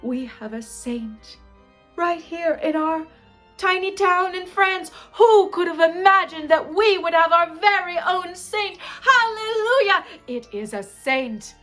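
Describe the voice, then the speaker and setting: A woman voicing an excitable, devout male French gravedigger in a told story, with exclamatory, rapturous delivery. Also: a little high, soft background music. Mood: jubilant. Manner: exclamatory, drawn-out rapturous cries. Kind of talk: storybook character in oral storytelling.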